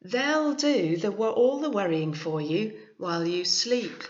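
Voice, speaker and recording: A woman voicing a kindly, reassuring old grandma in a storybook, speaking slowly and with comforting emphasis. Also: medium pitch, animated, slight background noise, lightly acted. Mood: gentle explaining. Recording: echoey room, slightly muffled sound.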